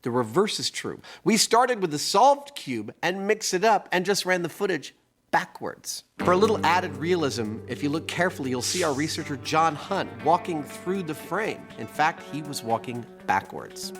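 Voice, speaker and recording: An enthusiastic man walking viewers through hands-on experiments, his voice sitting a bit high. He talks casually and jokes as he explains, with music playing behind. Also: very animated, moderate pace. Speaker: host and experimenter. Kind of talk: casual on-camera demonstration.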